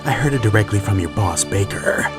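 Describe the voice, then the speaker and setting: A man voicing a stern, battle-hardened soldier with a gravelly growl and terse delivery, as in a video game dub. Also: fairly low pitch, fairly quick, voice pushed down, lightly acted. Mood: probing, grim. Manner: terse, interrogating, deadpan. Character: gruff, stern, battle-hardened male soldier, a lone operative. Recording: loud music underneath. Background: loud music.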